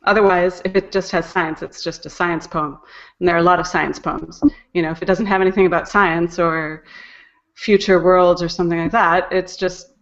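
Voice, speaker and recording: A woman's voice: fairly low pitch, fairly even intonation, moderate pace, a bit bright, muffled recording. A woman talking casually as an interview guest, hesitant and thinking aloud as she goes. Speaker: guest, poetry podcast editor and narrator.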